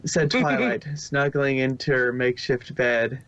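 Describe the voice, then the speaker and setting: A man's voice: mid-range voice, level tone, unhurried, fairly bright tone, dry recording, lo-fi sound. A man narrating a fan fiction story in an amateur reading.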